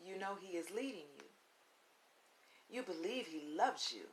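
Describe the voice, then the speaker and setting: A woman reading a children's book aloud as a storytime host, with deliberate, instructive phrasing and emphatic pauses, on a recording with audible hiss. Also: on the low side, expressive, rough recording. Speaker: read-along storytime host. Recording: audible hiss and background noise.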